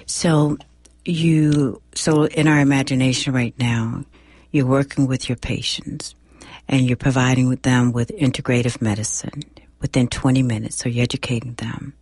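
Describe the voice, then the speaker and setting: A woman's voice: a bass voice, fairly even intonation, moderate pace, darkish tone, slightly rough, dry recording. Woman host asking reflective questions. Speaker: radio show host. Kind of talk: interview questions.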